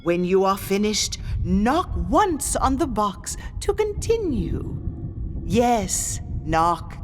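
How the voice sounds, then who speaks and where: An actress playing a haughty, scornful ghost medium who guards a haunted mansion, speaking in a theatrical, condescending monologue. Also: female voice, medium pitch, very animated, takes it slowly, noisy background. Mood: commanding, condescending. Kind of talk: theatrical scripted monologue addressed to the listener.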